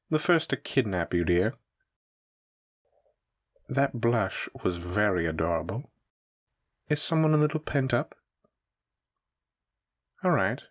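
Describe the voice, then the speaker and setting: Man playing a deep, taunting mafia villain. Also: heavily acted. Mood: teasing. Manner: slow, taunting, theatrical. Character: menacing, teasing male mafia boss villain with shadow powers. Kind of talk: roleplay audio drama, addressing the listener.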